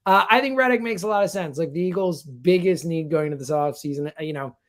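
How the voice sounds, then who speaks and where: A man's voice: on the high side, fast talker. A fired-up man, a sports radio guest, giving emphatic, conversational commentary.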